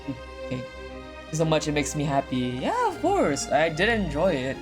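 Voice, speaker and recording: Female game streamer, low register, very animated, casual and excitable, repeats herself, music underneath. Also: moderate pace. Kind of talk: casual livestream gaming commentary. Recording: music behind the voice.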